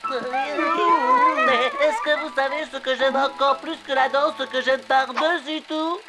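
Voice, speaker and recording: Woman playing a sappy little teddy bear, slow high baby talk, music under it. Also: lively intonation, full character voice, poor audio. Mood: sugary. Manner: syrupy, childish.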